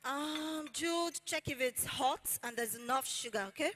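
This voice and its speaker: A woman's voice: sits high, unhurried, fairly bright tone. A woman playing an imperious, short-tempered madam of the house in a theatrical stage play, scornful and bossy. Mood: haughty, bossy. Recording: noisy hall with long reverb.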